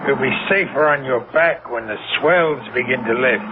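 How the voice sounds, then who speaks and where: A man's voice: mid-range voice, moderate pace, lightly acted. Over a thin, noisy radio broadcast comes a man acting as a sea captain in a 1970s mystery drama. He is commanding and suspicious, brooding with jealousy and pressing his questions with menace. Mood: gruff command. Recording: noisy, tinny old radio broadcast recording.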